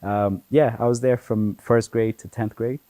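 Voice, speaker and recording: A man's voice: mid-range voice, steady tone, a little slow, warm tone, no room sound. Ethiopian-born man, chatty and laughing. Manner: chatty, laughs often, many fillers like "you know". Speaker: guest. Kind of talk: relaxed conversational storytelling.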